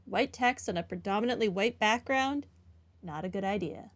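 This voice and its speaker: A woman's voice: mid-range voice, normal speed, slight background noise. A woman hosting a game playthrough, reading dialogue and commenting in a casual way. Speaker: gaming video host. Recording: game music behind voice.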